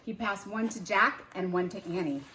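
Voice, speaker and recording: A woman reading a children's book aloud as a teacher, in deliberate, expressive storytelling phrasing with animated character voices. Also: medium pitch, roomy sound, a little hiss or hum, poor audio.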